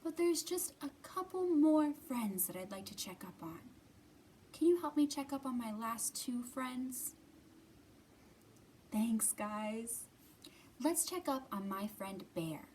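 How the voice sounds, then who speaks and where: Lady doing kids storytime, super slow simple talk, soft mellow tone, some room noise behind her. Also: on the high side, lively intonation. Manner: slow, simple, encouraging speech addressed to young children. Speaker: children's library storytime host. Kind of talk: children's storytime reading, puppets and sing-along. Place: indoors in a library.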